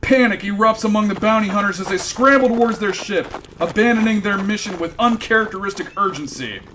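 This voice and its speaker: A man's voice: sits high, brisk, pushed and bright, a little hiss or hum. Wry male radio comedy narrator. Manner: wry, dry.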